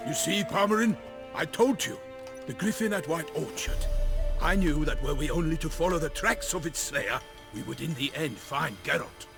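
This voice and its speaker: Cheerful, high-pitched chivalrous knight. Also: male voice, expressive, moderate pace, gravelly. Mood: hearty, confident. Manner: formal, florid, hearty. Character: cheerful, chivalrous knight errant, courteous and eager. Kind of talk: fantasy game voice acting, archaic courtly speech. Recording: loud game music behind.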